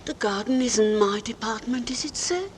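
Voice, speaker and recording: An actress playing a prim, watchful housekeeper in 1940s radio suspense style, deferential with pointed, insistent questions. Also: female voice, fairly high pitch, normal speed, natural voice. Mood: coolly deferential. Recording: noisy old radio recording.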